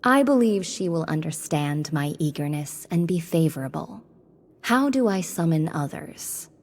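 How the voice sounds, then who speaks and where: A woman's voice: low voice, expressive, unhurried, a little mellow, heavily acted. An actress playing a determined, earnest witch rebel in a video game cutscene. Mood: urgent, resolute.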